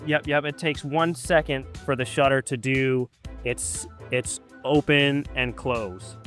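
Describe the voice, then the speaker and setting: A man's voice: mid-range voice, steady tone, slow, fairly bright tone, faint music behind. A man patiently explaining camera basics outdoors at dusk, in a casual, hesitant way full of ums and uhs. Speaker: photographer teaching a guest.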